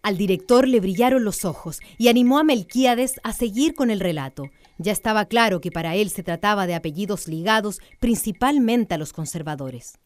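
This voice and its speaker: A woman's voice: medium pitch, lively intonation, fairly quick, few pauses. A woman narrating a radio drama in a scripted storytelling style.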